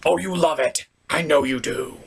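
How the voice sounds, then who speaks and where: A man's voice: a little high, normal speed, creaky, breathy edge. A man hosting a scripted comedic review, with theatrical, exasperated reactions. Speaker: host of a review show.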